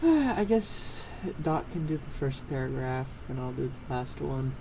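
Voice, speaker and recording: A man chatting casually and reading aloud haltingly, stumbling and going back over words, over an internet voice call. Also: medium pitch, big pitch swings, vocal fry, warm tone. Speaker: participant in a group fan fiction reading. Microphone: internet group voice call. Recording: noisy online voice call.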